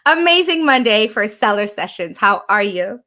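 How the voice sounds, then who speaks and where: A woman's voice: medium pitch, sing-song, moderate pace, pushed and bright. A woman hosting a podcast, chatting casually and laughing easily with her guest. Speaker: podcast host. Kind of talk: casual interview chat.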